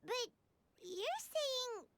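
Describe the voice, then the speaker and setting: A woman's voice: extremely high pitch, big pitch swings, very slow, gravelly, background noise, exaggerated voice. A woman voicing a small, anxious, childlike game creature who stammers and hesitates. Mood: distressed. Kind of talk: video game voice acting.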